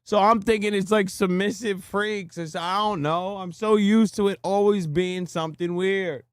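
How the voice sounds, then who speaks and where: A man's voice: high-pitched, steady tone, normal speed, clear, resonant tone, dry recording, clean studio sound. A man streaming a reaction video, chatting casually and crudely while joking and laughing along.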